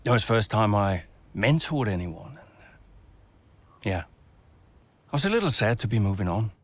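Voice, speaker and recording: An actor playing a calm, seasoned male race team mentor, talking in a measured, reflective way in a game cutscene interview. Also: lowish voice, no room sound. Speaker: new team principal.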